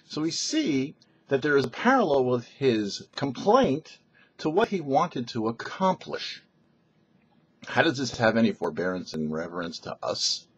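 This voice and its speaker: A middle-aged man, a rabbi giving a short religious teaching to camera in a measured, explanatory way. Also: medium pitch, sing-song, lo-fi sound, a little hiss or hum. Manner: measured, explanatory, rhetorical questions. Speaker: rabbi, show host.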